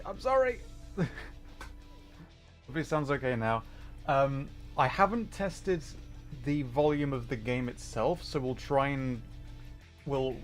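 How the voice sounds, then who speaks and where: Guy streaming games, chatty n apologetic, mid pitched voice, bit of music in the back. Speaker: livestream host, VTuber. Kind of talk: casual gaming stream chat, greeting viewers.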